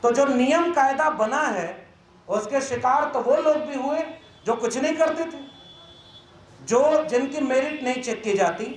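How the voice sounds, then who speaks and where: A man giving an emphatic, indignant, oratorical speech as a professor at a press conference. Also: extremely high pitch. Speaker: university professor and activist speaker. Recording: echoey room with faint background noise.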